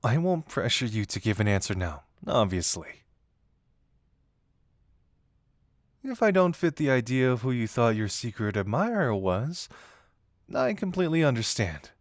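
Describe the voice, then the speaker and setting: A man plays a flirtatious male detective in a romantic audio roleplay, confessing as a secret admirer. His delivery is lively and swooping, teasing but earnest, with shy hesitations. The recording is close and dry. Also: mid-range voice, moderate pace, no room sound, natural voice. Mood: tender, self-deprecating.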